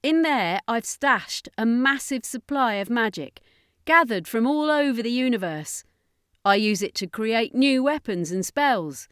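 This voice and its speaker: A woman's voice: on the high side, lively intonation, measured pace, a bit bright, smooth, dry recording, studio quality, voice pushed up. A woman voicing a brash, boastful alien sorceress in an audiobook adventure, brusque and sardonic. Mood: boastful, brisk. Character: brash, snappy female alien sorceress, flying and bragging.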